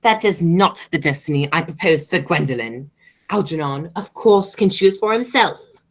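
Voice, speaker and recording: A woman playing an imperious, pompous aristocratic matron in an amateur radio play, speaking in a formal, deliberate way. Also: fairly low pitch, lively intonation. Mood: stern disapproval. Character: haughty, imperious aristocratic matron Lady Bracknell, coolly disapproving.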